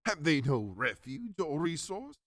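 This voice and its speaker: A man's voice: a little high, big pitch swings, measured pace, fairly bright tone, no echo, studio quality. A man playing a humbled older miser in a radio drama, speaking earnestly and formally to spirits. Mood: troubled.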